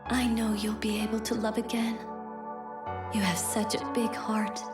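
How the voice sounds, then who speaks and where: A woman playing a gentle, devoted young woman in love, speaking earnestly in a video game drama. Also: a little high, little pitch movement, roomy sound, over loud music, lightly acted. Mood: tender, bittersweet. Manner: tender, earnest, unhurried.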